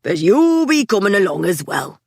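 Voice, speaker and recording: A woman reading an audiobook, voicing a bossy, mocking young man who drawls his words slowly. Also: mid-range voice, very animated, breathy edge, no room sound, clean studio sound.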